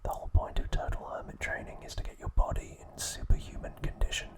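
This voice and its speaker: A man narrating scripted ASMR in a breathy, unvoiced whisper, with a measured, soothing delivery. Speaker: ASMR channel host.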